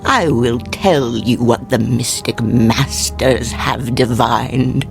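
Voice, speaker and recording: Very slow, ominous fortune teller. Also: female voice, very deep voice, creaky, over music, heavily acted. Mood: grave, ominous. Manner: slow, formal, mystical pronouncements. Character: solemn female fortune teller, cryptic and ominous. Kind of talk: radio drama acting.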